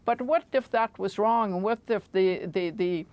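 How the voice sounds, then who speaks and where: A woman on a political news panel speaking in a measured way and posing rhetorical questions. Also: mid-range voice, darkish tone.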